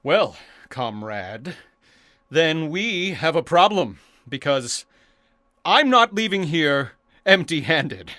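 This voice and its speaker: A man's voice: on the high side, sing-song, slow, bright, forceful tone, full character voice. A man playing a desperate, panicky young would-be thief in a radio drama, prone to stammering. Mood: defiant. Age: young adult. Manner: stammering, pleading.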